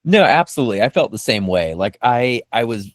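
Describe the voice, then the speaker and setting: A man hosting a fan discussion podcast, talking in an animated, emphatic way. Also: medium pitch, a little fast, dry recording, studio quality. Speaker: podcast host.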